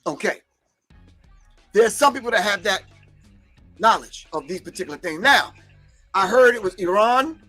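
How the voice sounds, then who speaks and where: A man's voice: high voice, lively intonation, moderate pace, long pauses, sharp tone, faint music behind. A man whose first language is not English, hosting a livestream and preaching his points emphatically while answering chat comments. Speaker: host.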